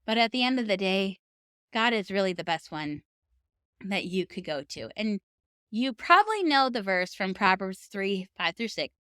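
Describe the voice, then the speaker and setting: A woman's voice: medium pitch, expressive, moderate pace, fairly bright tone, smooth, dry recording, clean studio sound. A woman speaking as a podcast host, giving advice in an earnest, conversational and encouraging way. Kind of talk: encouraging advice talk to camera for writers.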